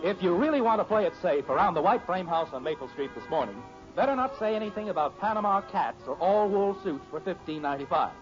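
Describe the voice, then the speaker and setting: Animated, higher-voiced vintage radio announcer, a man. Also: fast talker, over music. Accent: American. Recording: dull old radio recording.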